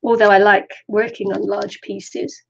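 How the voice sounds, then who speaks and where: Older woman, from the Welsh borders, gravelly voice, chatty, rambling, slightly dull lo-fi sound. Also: mid-range voice, flat delivery, measured pace. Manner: chatty, rambling, laughs often; self-deprecating. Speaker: crafter streaming a zine tutorial. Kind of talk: casual live craft tutorial.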